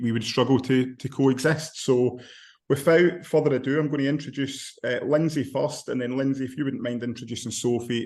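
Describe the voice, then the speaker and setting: A man with a broad Scottish accent hosting an online panel webinar, apologetic and steady. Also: medium pitch. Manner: apologetic, steady, level delivery. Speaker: webinar host. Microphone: video call.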